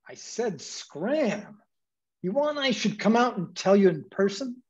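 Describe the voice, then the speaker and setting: A performer playing a slangy, rough-talking 1920s speakeasy doorman in an audio drama. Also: mid-range voice, big pitch swings, measured pace, a little mellow, highs cut off. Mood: gruff, threatening. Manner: gruff slangy threats, apologetic stammering. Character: gruff speakeasy doorman with tough-guy slang, flustered underneath.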